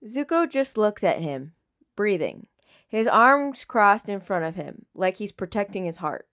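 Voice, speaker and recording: A woman's voice: medium pitch, very animated, measured pace, fairly bright tone. A woman reading scripted fiction as an audiobook narrator.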